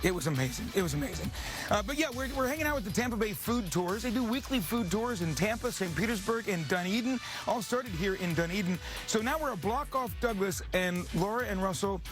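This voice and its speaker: Male morning TV reporter, high-pitched, chatty and enthusiastic, live feature style, music behind him. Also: lively intonation, brisk. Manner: enthusiastic, chatty, repeats himself.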